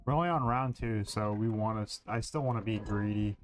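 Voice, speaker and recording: A man's voice: on the low side, fairly quick, faint music behind. A man streaming a game, chatting casually and thinking aloud with hesitant fillers. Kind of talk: casual gaming commentary.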